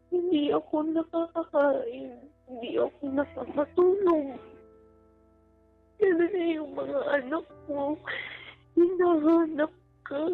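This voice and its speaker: A high-pitched, creaky woman caller opens up about personal matters in an earnest, halting way. She pleads gently and sounds emotional, coming through a thin phone line with music playing underneath. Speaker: caller seeking relationship advice. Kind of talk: personal, confessional phone-in talk. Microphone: phone call.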